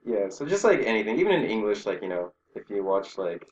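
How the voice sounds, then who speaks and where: A young man chatting casually as an interview guest, peppering his talk with "like" and quick laughing asides. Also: lowish voice, very animated, muffled recording, a little hiss or hum. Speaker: guest, Japanese learner working in Japan. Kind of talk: casual conversation.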